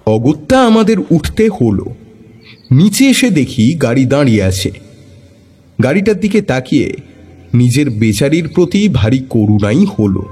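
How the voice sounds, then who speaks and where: A man playing the detective's wry companion, narrating in a mellow, lively voice. He tells the tale with dry humor while faint music plays underneath. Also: medium pitch, measured pace, husky. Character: wry male companion narrating in first person. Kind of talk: storytelling narration. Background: faint background music.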